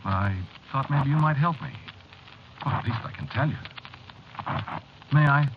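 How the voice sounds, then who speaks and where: An actor playing an anxious, haunted man confessing a premonition haltingly in a 1940s style radio drama monologue. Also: mid-range voice, lightly acted. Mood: troubled, halting. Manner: hesitant, halting, confiding, nervous. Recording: noisy, muffled vintage radio broadcast recording.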